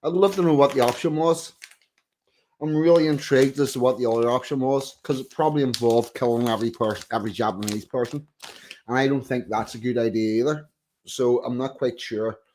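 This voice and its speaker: A man's voice: medium pitch, a little mellow. Chatty male streamer, loose and offhand. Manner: chatty, loose, swears under his breath. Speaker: streamer host. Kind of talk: casual live-stream chat.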